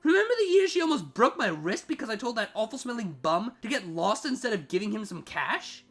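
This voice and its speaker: A haughty siren woman acted by a female reader in a storybook tale, sarcastic and easily exasperated. Her biting delivery swings widely in pitch over faint music. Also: big pitch swings, brisk. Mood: dry, sarcastic. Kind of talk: storybook fan fiction reading.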